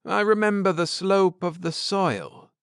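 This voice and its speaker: A man voicing a kindly, nostalgic husband and space pilot in an audiobook, speaking earnestly and warmly. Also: high voice, a little slow, creaky, clean tone, no room sound, studio quality, pitched above the performer's own voice, exaggerated voice. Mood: satisfied. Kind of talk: audiobook character dialogue.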